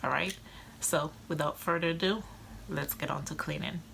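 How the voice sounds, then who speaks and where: A woman narrating a casual cleaning vlog in a conversational, explanatory way, slightly hoarse from a cold. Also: low-pitched, steady tone, normal speed, very noisy. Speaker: vlogger. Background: background music.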